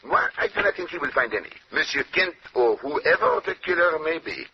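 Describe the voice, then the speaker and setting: A courteous Belgian detective with a French-Belgian accent. His phrasing is formal and self-assured, with very lively intonation. It sounds like a muffled, noisy old radio drama recording. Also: on the high side, vocal fry, heavily acted. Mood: urbane, wry. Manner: formal, precise, unhurried foreign phrasing.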